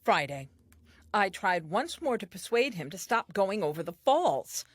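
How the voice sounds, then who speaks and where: A woman's voice: medium pitch, very animated, a little slow, no echo. An actress playing an earnest, naive and tender first woman, telling her diary in an audio play with wide-eyed expression. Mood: fearful.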